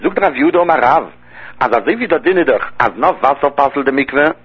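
A man's voice: mid-range voice, animated, quick pace, husky. A man teaching a Talmud study lecture in a sing-song cadence, with phrases that rise and fall. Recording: lo-fi dull recording.

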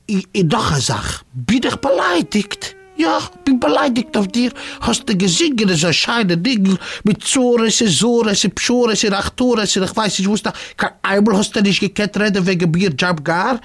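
A woman playing a sarcastic, resentful servant who scolds a fallen king in a theatrical comic drama, with a husky voice. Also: mid-range voice, animated, measured pace, few pauses. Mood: offended.

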